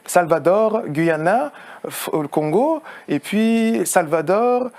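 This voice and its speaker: A man from France, a film producer, answering a TV interview in an earnest, halting way. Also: high voice, lively intonation, darkish tone. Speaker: film producer and actor, guest. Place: TV studio.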